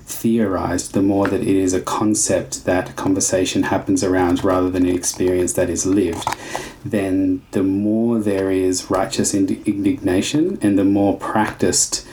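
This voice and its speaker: A man's voice: deep voice, level tone, unhurried, dark tone, slight background noise, low-quality recording. A man from Australia who teaches dance, talking in a reflective casual conversation, building long winding sentences and searching for words.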